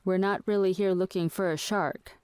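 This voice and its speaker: Clear, dry, blunt woman played by an actress. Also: mid-range voice, steady tone, moderate pace, darkish tone, no room sound, close to an everyday voice. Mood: dry, teasing. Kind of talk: audio drama acting.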